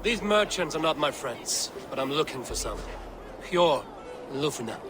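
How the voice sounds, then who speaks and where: An actor playing a blunt, steady male warrior in a video game, terse and deliberate. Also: on the high side, music in the background, natural voice. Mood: blunt, menacing. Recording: noisy outdoor game ambience.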